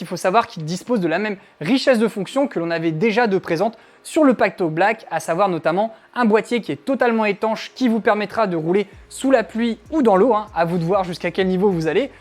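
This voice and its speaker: Casting: female product reviewer, mid-pitched voice, conversational scripted delivery, faint music bed. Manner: informal, punctuated with tag words. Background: faint background music.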